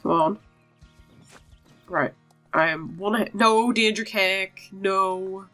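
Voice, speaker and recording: A woman's voice: medium pitch, takes it slowly, pushed and bright. A woman doing casual game commentary, chatty and talking to herself as she plays. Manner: chatty, talks to herself and her virtual animals. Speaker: gaming video host. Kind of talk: casual game commentary, reading dialogue aloud. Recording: voice over game music. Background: game music.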